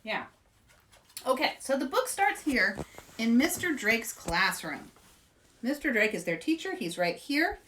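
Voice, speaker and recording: A woman hosting story-time for children, reading aloud in a playful, expressive way and chatting with young viewers. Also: fairly high pitch, measured pace, long pauses, far from the mic, slight background noise. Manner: playful, expressive, asks listeners questions.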